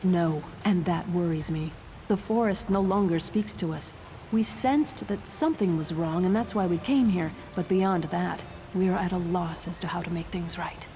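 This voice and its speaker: A woman's voice: on the low side, moderate pace, mellow, natural voice. A woman voicing a troubled, devout forest witch with a grave manner in a fantasy video game. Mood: grave, worried.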